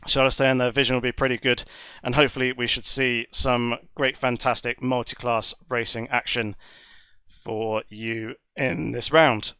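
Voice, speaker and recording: A man commentating a sim racing broadcast, speaking in a measured, polite and wordy way while nursing a cold that leaves him a little congested. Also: mid-range voice, little pitch movement, sharp tone, clean tone, dry recording. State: has a cold, slightly congested. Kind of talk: live esports race commentary.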